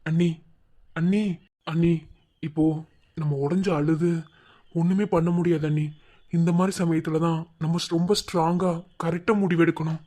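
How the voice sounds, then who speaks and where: A man playing a practical, steadying young family member, speaking haltingly and reassuringly in a family drama. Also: fairly high pitch, little pitch movement, warm tone, lightly acted.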